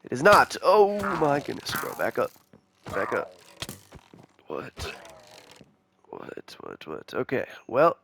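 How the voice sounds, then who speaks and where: A young man giving casual, rambling commentary over a game, excitable and chatty with viewers, his voice a little nasal from a cold. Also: medium pitch, takes it slowly, creaky, pauses often, slight background noise. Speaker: gaming YouTuber.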